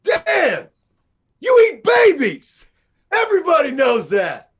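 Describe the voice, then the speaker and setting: A male actor voicing a shrill, hysterical, accusing oddball character from a video game. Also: huge pitch swings, takes it slowly, heavily acted. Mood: shrieking accusation.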